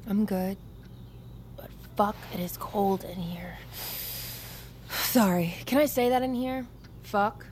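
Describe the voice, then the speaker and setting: A woman's voice: mid-range voice, slow pace, pauses often, a little mellow, a little hiss or hum, close to an everyday voice. A young woman playing a wisecracking, sarcastic army private in custody in an audio drama. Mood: sarcastic, flippant. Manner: sarcastic, flippant, joking. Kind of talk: naturalistic audio fiction acting.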